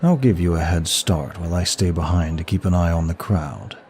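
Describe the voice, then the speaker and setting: A man's voice: sits low, darkish tone, a little hiss or hum. A man playing a seductive, teasing, ancient demon of lust in an intimate audio roleplay, lingering sultrily over his words. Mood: smooth, conspiratorial. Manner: slow, sultry, lingering delivery. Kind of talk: intimate ASMR roleplay acting addressed to listener.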